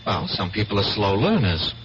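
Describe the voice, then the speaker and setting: An actor playing an earnest, dreamy and evasive painter in a 1970s radio drama, with a halting, dramatic delivery. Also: male voice, lowish voice, very animated, fairly bright tone. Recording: muffled 1970s radio broadcast, faint hum.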